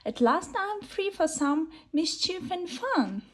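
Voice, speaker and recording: A woman's voice: very high-pitched, sing-song, takes it slowly, voice pushed up, full character voice. A woman voicing a little female witch who is impatient and hot-tempered, exclaiming in huffy bursts. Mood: gleeful.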